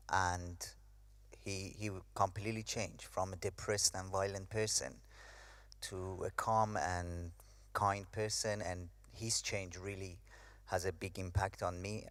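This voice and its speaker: A man's voice: low-pitched, fairly bright tone, dry recording. A young man from Iran giving a hesitant personal testimony, with many fillers. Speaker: speaker sharing his story.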